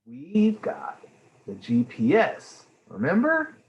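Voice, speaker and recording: A man's voice: fairly high pitch, huge pitch swings, slow pace, dull audio, voice pushed up, exaggerated voice. A man voicing a firm, upbeat father in a storybook, decisive and reassuring. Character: firm, upbeat father leading a family trip.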